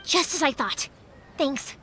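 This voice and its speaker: A bright-spirited young woman from an anime game, with a high voice. The delivery is earnest, caring and expressive. Background music is clearly audible. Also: slow. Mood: alarmed, concerned. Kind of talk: English-dubbed anime game acting.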